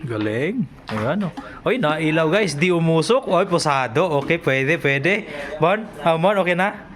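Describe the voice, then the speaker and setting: A man's voice: a little high, expressive, unhurried, fairly bright tone. A man with a Filipino accent chatting casually as a vlog host, informally addressing viewers and instructing helpers.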